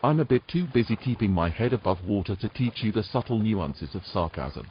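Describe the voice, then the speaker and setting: A man acts out a proud, uneasy knight from a fantasy audio drama. His low voice swings expressively in pitch, with formal phrasing and dry sarcasm. The recording is slightly dull. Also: animated, brisk, slight background noise, close to an everyday voice. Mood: strained, sarcastic.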